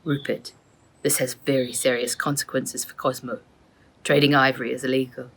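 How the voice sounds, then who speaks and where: A woman's voice: very deep voice, flat delivery, normal speed, a bit bright, full character voice, rough recording. A woman voicing a levelheaded, bemused uncle in a children's storybook, with colloquial, questioning delivery. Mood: grave.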